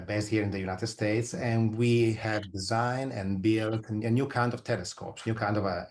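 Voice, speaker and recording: A middle-aged man with an Italian accent and a slightly deep voice talks as a scientist guest in an interview. He explains things informally, in plain everyday terms. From Italy. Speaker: interview guest, physicist and astronomer.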